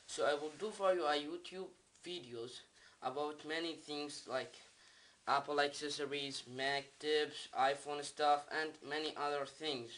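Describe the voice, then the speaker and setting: A man from the Middle East, a native Arabic speaker with an Arabic accent, giving a casual unboxing demonstration in simple, halting, non-native phrasing. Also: a little high, fairly even intonation, a bit bright. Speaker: video creator, product reviewer. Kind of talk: casual unboxing vlog, talking while demonstrating.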